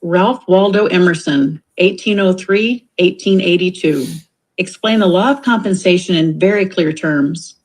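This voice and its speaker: A woman reading scripted audiobook commentary in a deliberate, reflective way. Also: on the low side, a little mellow, low-quality recording.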